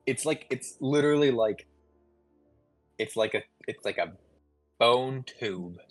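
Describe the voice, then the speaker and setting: A man narrating a tabletop game casually as game master, often pausing to search for his words. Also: medium pitch, expressive, dry recording. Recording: faint music underneath. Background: faint music.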